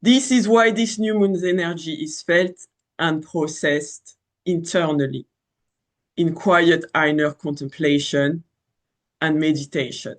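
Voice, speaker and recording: A man in his thirties speaks with a French accent, his voice sitting a little high. He talks loosely and casually, circling back on himself with fillers and restarts. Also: steady tone, unhurried. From France. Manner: rambling, many fillers and restarts. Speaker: astrology channel host. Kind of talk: casual spoken astrology talk.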